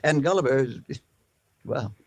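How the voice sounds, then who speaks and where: An eccentric American male animal trainer in an old radio drama. He is pompous, earnest and excitable, and his mid-range voice swings widely in pitch. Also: unhurried, no room sound. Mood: indignant, earnest. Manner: pompous, fussy, theatrical. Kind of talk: 1950s radio drama acting.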